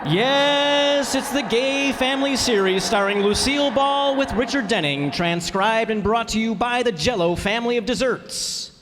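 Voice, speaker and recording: A man's voice: very high-pitched, lively intonation, normal speed, few pauses, a bit bright, a little hiss or hum. A man acting a peppy announcer in the style of 1940s radio. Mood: upbeat, showy. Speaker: announcer, amateur radio player. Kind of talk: old-time radio announcing. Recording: echoey hall stage performance.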